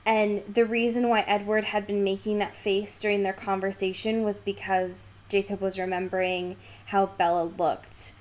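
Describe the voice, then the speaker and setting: A woman's voice: medium pitch, little pitch movement, moderate pace, few pauses, darkish tone. Emphatic, slangy woman podcaster. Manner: emphatic, slangy, exasperated asides. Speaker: podcast co-host. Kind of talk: casual book discussion with mocking quotes.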